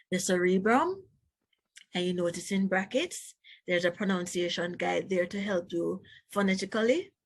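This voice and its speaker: A woman reading aloud as a teacher to young children, instructive and expressive, adding explanatory asides. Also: medium pitch, husky. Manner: instructive, addresses young listeners directly, expressive emphasis.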